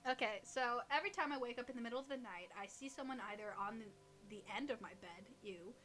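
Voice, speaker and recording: A woman's voice: a little high, fast, fairly bright tone. A young woman co-hosting a casual chat, reading creepy stories aloud in a giggly, teasing, irreverent way. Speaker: co-host reading listener stories.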